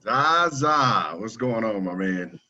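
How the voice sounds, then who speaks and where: A man's voice: on the low side, animated, slow pace, sharp tone. A man who is a camp coach, chatting on a virtual Q&A in a laid-back, easygoing way. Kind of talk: casual virtual Q&A. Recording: dull lo-fi call audio.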